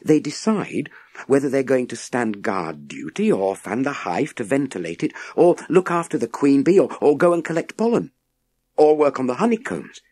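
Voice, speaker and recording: A man voicing an eager, earnest young boy who speaks in a halting, urgent way. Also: medium pitch, very animated, a little mellow, breathy edge, dry recording, pitched above the performer's own voice. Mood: earnest, thoughtful.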